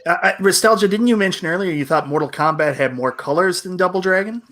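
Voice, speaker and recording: A man's voice: sits high, fast talker, fairly bright tone. A man co-hosting a casual live stream, hesitant and laughing as he asks questions. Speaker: co-host. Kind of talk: casual livestream chat.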